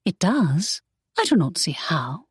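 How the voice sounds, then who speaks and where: A woman voicing an innocent, spirited young lady in an audiobook, speaking earnestly in a raised, lively voice. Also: on the low side, takes it slowly, breathy edge, dry recording, clean studio sound, full character voice. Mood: earnest indignant.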